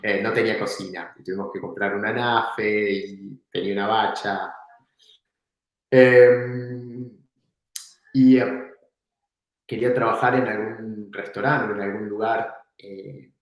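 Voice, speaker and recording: A man tells a story from his past in a relaxed, reflective way, laughing now and then. His voice sits slightly low. The room is very echoey and rings around him. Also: unhurried. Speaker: entrepreneur guest. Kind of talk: casual storytelling in an interview.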